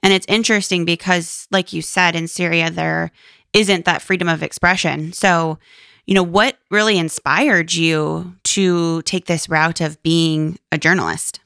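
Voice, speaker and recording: A woman's voice: lowish voice, steady tone, unhurried, gravelly, fairly bright tone, clean tone, no room sound, clean studio sound. A woman hosting an interview podcast, friendly and upbeat. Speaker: host.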